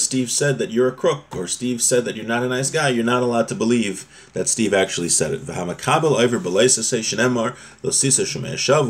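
A man, around his thirties or forties, giving an informal religious lesson, reading and explaining, often rephrasing his points. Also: lowish voice. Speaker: religious teacher. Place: small home room, indoors.

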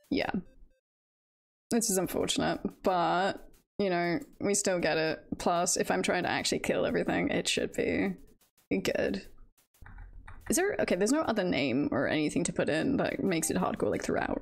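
A woman's voice: on the low side, gravelly. A giggly woman streamer chatting casually while she plays a game. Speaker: VTuber streamer.

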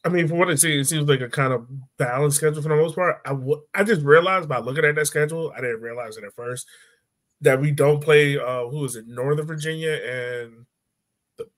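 A man's voice: a little high, fairly quick. Man hosting a casual sports chat. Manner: informal, conversational questioning. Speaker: podcast host. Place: indoor room at home, video call. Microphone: video call microphone.

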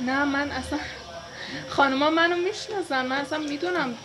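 A woman vlogger chatting earnestly and reassuringly with bakers in a village bakery. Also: high voice. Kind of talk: casual conversation. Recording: loud background noise.

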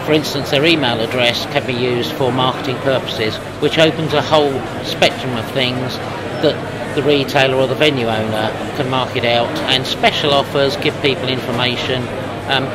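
A man in his fifties being interviewed at a trade show, explaining things in a measured, businesslike way. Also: mid-range voice. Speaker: company representative being interviewed. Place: busy exhibition hall booth. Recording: loud trade-show crowd noise. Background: loud crowd hubbub.

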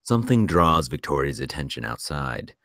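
A man's voice: extremely low pitch, very animated, normal speed, fairly bright tone, no echo, studio quality. A man reading screenplay stage directions aloud as scripted narration. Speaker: screenplay narrator at a table read.

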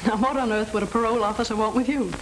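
An actress playing a puzzled, concerned, earnest young sweetheart in a 1930s film drama. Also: female voice, a little high, fairly even intonation, racing, lightly acted. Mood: bewildered concern. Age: young adult. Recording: roomy, noisy 1930s film soundtrack.

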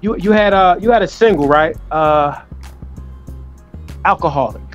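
Joking interview host, higher voice. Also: male voice, a little slow, lo-fi sound, music in the background. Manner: loose, joking, profane banter. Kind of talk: casual interview chat. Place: room at home indoors. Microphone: webcam on a video call.

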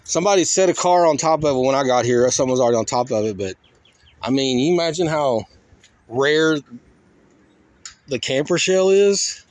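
A man's voice: mid-range voice, lively intonation, normal speed, pauses often, no room sound. A man chatting casually and colloquially as he hosts a rambling walk-around video, in a folksy manner, talking half to himself. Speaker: host of a car crushing vlog. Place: outdoors in a junkyard. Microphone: handheld phone or camera, operator behind it. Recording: faint outdoor noise, handheld camera audio.

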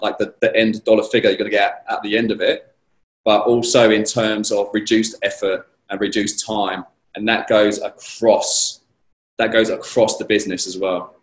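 A man with a slightly croaky voice answering questions as a guest speaker on a video call, measured and conversational. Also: on the low side. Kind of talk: conversational conference Q&A. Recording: roomy echo on a video call.

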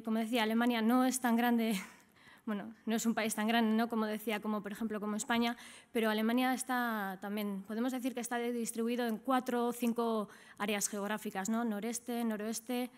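Spanish woman from spain doing a business talk at a conference, slightly high voice, chatty, keeps adding little tag questions. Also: darkish tone. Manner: conversational, often seeking agreement with tag questions. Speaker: trade office director, conference speaker. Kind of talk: business presentation. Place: indoor conference stage at a lectern.

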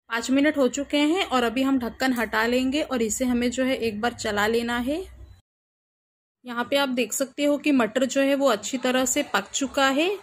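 A woman hosting a cooking channel, calmly narrating a recipe step by step in her home kitchen. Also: sits high, echoey room. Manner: calm, instructional, repeats "we will add".

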